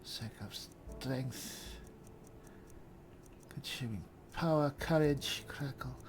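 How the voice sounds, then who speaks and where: A man thinking aloud in character as he plays a roleplaying game, measured and deliberate, often muttering to himself. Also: mid-range voice, noisy background. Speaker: gamer narrating a roleplay playthrough.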